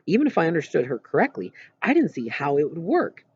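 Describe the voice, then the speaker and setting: A man with a husky voice reads a first-person novel aloud expressively, with halting pauses mid-phrase. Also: a little high, huge pitch swings, darkish tone, no echo, lo-fi sound. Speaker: audiobook reader.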